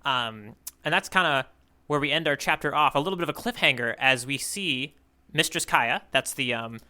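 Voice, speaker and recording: Man, forceful bright tone, clear and smooth, rambling casual co-host, hesitant, self-correcting. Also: medium pitch, animated, moderate pace. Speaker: podcast co-host recapping manga chapters. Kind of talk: casual podcast chat and recap.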